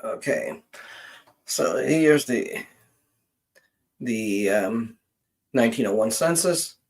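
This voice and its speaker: A man hosting a casual live genealogy session, explaining things in a halting, hesitant way and often trailing off mid-sentence. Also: medium pitch, lively intonation.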